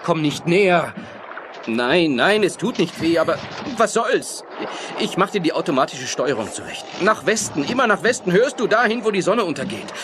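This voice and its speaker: A man's voice: sits high, very animated, moderate pace. An actor playing a rugged male yacht skipper in a horror radio play. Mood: alarmed, urgent. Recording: noisy ambience behind the voice. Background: clear ambient noise.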